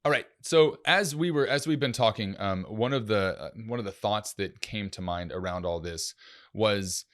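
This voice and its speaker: A man's voice: fairly low pitch, normal speed, a bit bright. A man co-hosting a casual talk show, speaking in a reflective way with frequent restarts. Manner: reflective, many fillers and restarts. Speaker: podcast co-host. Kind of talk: casual chat.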